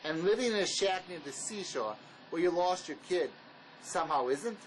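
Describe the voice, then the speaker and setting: A man's voice: high-pitched, lively intonation, normal speed, rough recording. An actor playing a cynical, impatient male ex-con in a drama, with a curt, needling, tough-talking manner. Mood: cynical, uneasy. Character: hard-edged, impatient ex-con man, cynical and resourceful. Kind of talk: dramatic script performance. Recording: faint background noise.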